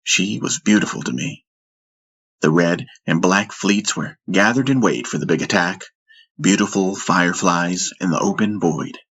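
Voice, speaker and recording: A man narrating first-person fiction in a measured, dramatic storytelling manner. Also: low-pitched, a bit bright, slightly rough. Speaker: audiobook narrator.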